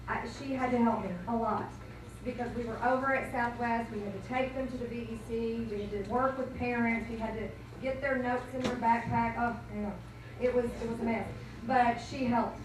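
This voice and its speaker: A hoarse woman coach rambles through thank-yous, correcting herself as she goes. Her slightly high voice is picked up from far off in a very echoey hall with steady background noise. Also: normal speed. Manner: rambling, self-correcting, chatty. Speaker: cheer coach. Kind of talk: banquet thank-you speech. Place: school banquet room, indoors.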